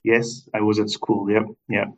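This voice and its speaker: A man from Egypt, a guest answering interview questions in a hesitant, unhurried way with many fillers. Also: lowish voice, flat delivery, a little mellow. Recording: distant, dull lo-fi sound.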